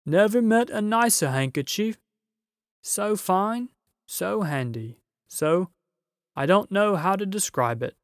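A man's voice: high voice, animated, takes it slowly, no room sound, studio quality, voice pushed up, full character voice. A man voicing a big, polite, good-natured working-class giant in a children's storybook, with deferential, folksy, rustic speech. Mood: politely pleased.

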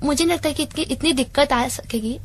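A woman's voice: sits high, fairly quick, no echo. A woman answering questions as an interview guest in a conversational way.

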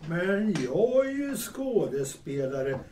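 A very high male voice put on by an actor plays a tipsy, eager fool of a thespian in an audio drama. It swings up and down freely and comes from far off in a roomy space. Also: very animated, warm tone. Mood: eager, meek. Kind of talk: audio drama dialogue acting. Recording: echoey, distant mic, faint noise.

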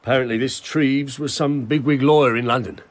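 An actor playing a chatty, deferential police sergeant in a radio drama. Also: male voice, mid-range voice, steady tone, brisk, lightly acted. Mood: deferential, brisk.

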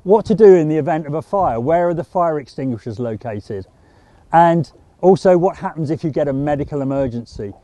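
A middle-aged man from the UK giving practical sailing safety advice in a steady, methodical way, with the odd small restart. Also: a little high, fairly quick, no room sound. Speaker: sailing instructor. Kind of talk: instructional talk to camera.

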